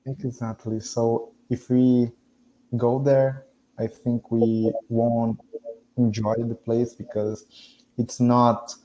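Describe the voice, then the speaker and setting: A man from Brazil whose first language is Portuguese, talking with his tutor over a video call. He is earnest and agreeable, with thoughtful pauses. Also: medium pitch, level tone, mellow, low-quality recording. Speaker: language student. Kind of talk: casual conversation lesson.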